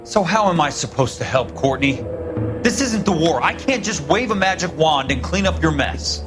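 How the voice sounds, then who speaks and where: Guy doing a tough blunt vet, scornful, lively pitch, music behind. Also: normal speed, lightly acted. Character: hard-bitten male war veteran fixer. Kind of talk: video game cutscene acting. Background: music score.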